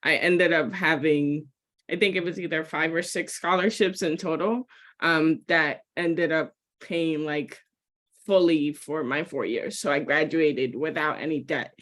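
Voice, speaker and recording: A woman's voice: sits low, measured pace, a bit bright. Chatty young woman with fillers. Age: young adult. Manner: chatty, lots of fillers, laughs lightly. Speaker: panelist. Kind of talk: webinar panel talk.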